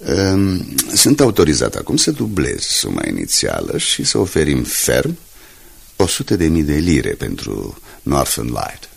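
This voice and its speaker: An actor playing a formal, insistent newspaper envoy in a radio drama, with a gravelly, husky voice. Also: male voice, rumbling low, wildly swooping pitch, heavily acted. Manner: formal, smoothly insistent business speech. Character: courteous, determined male newspaper group envoy.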